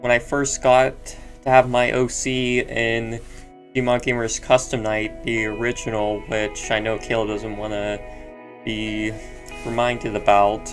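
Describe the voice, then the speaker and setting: A man hosting a reaction video, speaking softly and hesitantly in casual commentary, often restarting his sentences. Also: medium pitch, flat delivery, measured pace, soft background music. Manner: soft-spoken, hesitant, with repeated restarts. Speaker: YouTube reaction host.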